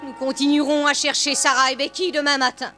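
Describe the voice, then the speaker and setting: High-pitched, scolding headmistress. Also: female voice, little pitch movement, brisk. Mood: stern. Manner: commanding, scolding, haughty. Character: harsh, bossy adult headmistress of a girls' school. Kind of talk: dubbed animated drama acting. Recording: voice over loud music.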